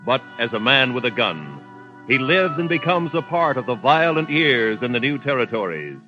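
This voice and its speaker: A man's voice: mid-range voice, sing-song, normal speed. A male announcer giving a formal, declaiming introduction to a 1950s radio western. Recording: dull lo-fi 1950s radio recording with music.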